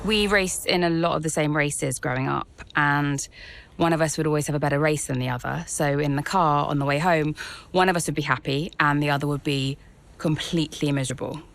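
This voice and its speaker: A woman's voice: low-pitched, fairly even intonation, fairly quick, gravelly. An actress playing a young female racing driver who resents her family and speaks in a direct, guarded, bitter way. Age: young adult. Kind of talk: video game cutscene acting. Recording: game cutscene with loud vehicle ambience.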